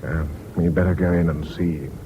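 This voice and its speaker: A muffled old radio drama recording with some noise carries a low British male voice. A man acts a worldly defence lawyer, frank and unsentimental, who speaks in dry, educated, measured phrases. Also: fairly even intonation, close to an everyday voice.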